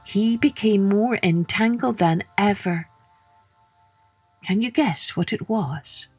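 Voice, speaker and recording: A woman narrates a children's tale at a slow, deliberate pace. She is theatrical, dropping into breathy hushes, and there is faint music in the recording. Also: on the high side. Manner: theatrical, drops to breathy hushes, questions the listener. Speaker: storyteller, podcast host. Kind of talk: dramatic children's storytelling narration.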